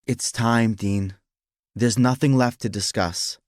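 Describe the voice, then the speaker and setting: A man voicing a confident, ruthless young businessman in an audiobook, speaking firmly and deliberately. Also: mid-range voice, steady tone, a little mellow, no room sound, studio quality, pitched above the performer's own voice, close to an everyday voice. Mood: stern, menacing. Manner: firm, deliberate, commanding.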